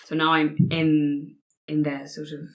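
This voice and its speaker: Low-voiced woman in casual conversation. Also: completely flat delivery, a little slow, low-quality recording. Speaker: tabletop roleplay podcast player. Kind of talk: casual group discussion.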